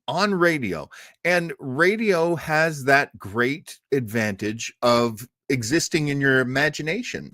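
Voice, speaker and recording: A man's voice: fairly high pitch, fairly bright tone, no echo, clean studio sound. A man hosting a livestream in casual, rambling, enthusiastic conversation. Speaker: show host. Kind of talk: casual livestream chat introducing old radio shows.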